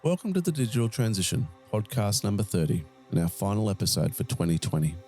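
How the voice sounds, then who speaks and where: A man's voice: lowish voice, fast, mellow, soft background music. A man hosting a podcast, introducing his guest and asking long, rambling questions. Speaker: podcast host.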